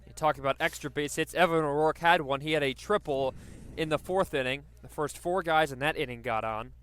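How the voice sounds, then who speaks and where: A man's voice: fairly high pitch, fairly quick, fairly bright tone, smooth. A man doing live radio baseball play-by-play, describing the action and working in stats as he goes. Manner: descriptive, stat-laden delivery.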